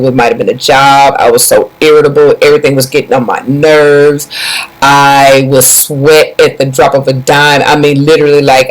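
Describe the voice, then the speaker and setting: A woman's voice: extremely low pitch, rough recording. A woman, chatting casually to her viewers and calling them y'all. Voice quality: raspy. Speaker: vlogger. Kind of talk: casual personal storytelling to viewers.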